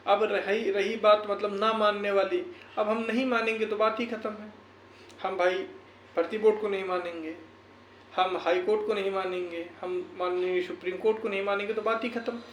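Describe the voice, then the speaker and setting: A man's voice: high voice, steady tone, moderate pace, a bit bright. A man speaking to viewers in an earnest, exhortative way, repeating phrases for emphasis in a motivational talk. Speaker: YouTuber and educator. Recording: echoey room, faint background noise.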